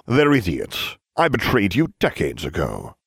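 A man's voice: lowish voice, wildly swooping pitch, measured pace, creaky, a bit bright, breathy edge, dry recording, clean studio sound, heavily acted. A man voicing a contemptuous, melodramatic double agent in an audiobook, with a bitter drawl. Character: bitter, theatrical adult male double agent. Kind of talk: audiobook character voice.

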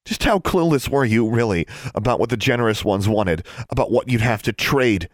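A man voicing a grieving, angry young daughter in an audiobook, accusing and emotional, with a shrill edge. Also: lively intonation, moderate pace, no echo, studio quality, close to an everyday voice. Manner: accusing, emotional, rising to shrieks. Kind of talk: dramatic audiobook character acting.

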